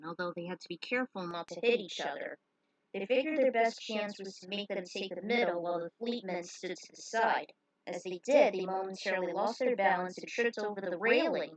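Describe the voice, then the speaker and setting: A woman's voice: mid-range voice, no room sound, far from the mic, low-quality recording. A woman reading story narration, with casual, grumbling asides. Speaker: narrator reading own fan fiction over game cutscenes.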